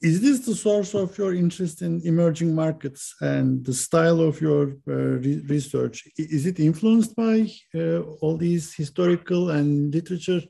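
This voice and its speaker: Gravelly, mellow male interviewer. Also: on the high side, normal speed, hardly any pauses. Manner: brief, prompting questions. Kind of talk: interview questions.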